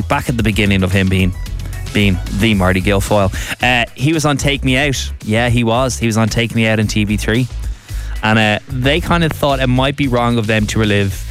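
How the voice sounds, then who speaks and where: A man's voice: medium pitch, normal speed, fairly bright tone, faint music behind. A man hosting a radio show, chatting casually as he introduces a segment. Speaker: radio host. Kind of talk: casual radio link over music.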